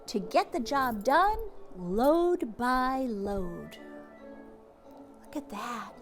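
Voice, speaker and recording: A woman reading a children's picture book aloud, expressively, with drawn-out words and hushed asides, speaking directly to young listeners. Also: high voice. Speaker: teacher or read-aloud host reading to children.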